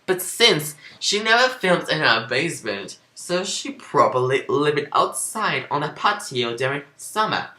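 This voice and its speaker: A woman's voice: low voice, very animated, measured pace, hardly any pauses, bright, forceful tone. A woman giving a mocking amateur dramatic reading of fan fiction, breaking off into sarcastic asides. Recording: roomy, echoing home recording.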